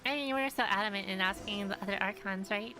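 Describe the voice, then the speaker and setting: A woman's voice: mid-range voice, fast, bright, forceful tone, slight background noise. A woman giving casual gameplay commentary as a streamer, musing and hesitant as she thinks aloud. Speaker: game streamer.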